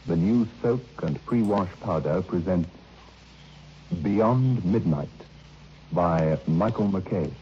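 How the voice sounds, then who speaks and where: A man making a formal, deliberate sponsor announcement in vintage radio style. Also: deep voice, lively intonation, a little hiss or hum. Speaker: programme announcer. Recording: dull old radio recording, faint music.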